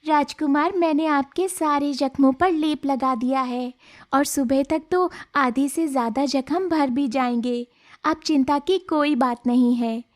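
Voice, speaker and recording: A woman voicing a kind, brave young village woman in a cartoon story, earnest and expressive. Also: a little slow. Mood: caring. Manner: earnest, expressive, high-voiced. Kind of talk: Hindi cartoon moral story dialogue.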